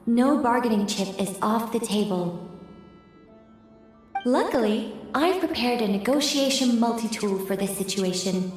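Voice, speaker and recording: A woman playing a composed, enigmatic guide in an anime game, measured and formal in delivery. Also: on the high side, animated, faint music behind. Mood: composed, knowing. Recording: heavy echo, long reverb tail.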